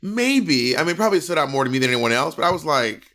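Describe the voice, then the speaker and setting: An American man in his thirties co-hosts a casual review show. His voice sits fairly high and moves very widely in pitch. He tells stories emphatically, with plenty of swearing. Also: big pitch swings, fast talker, sharp tone. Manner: emphatic, profane. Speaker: podcast co-host. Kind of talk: casual storytelling and review chat. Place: indoor room at home.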